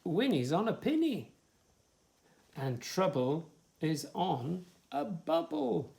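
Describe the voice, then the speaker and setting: A bossy, resourceful storybook frog, acted out by a male reader, critical and emphatic. The pitch leaps about in a very lively way over a creaky voice. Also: takes it slowly, heavily acted. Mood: proud.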